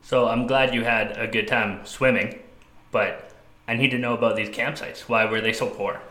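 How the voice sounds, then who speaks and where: A man's voice: medium pitch, steady tone, moderate pace, sharp tone, echoey room. A man co-hosting a podcast, chatting casually and enthusiastically in slangy banter with a friend. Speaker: podcast co-host.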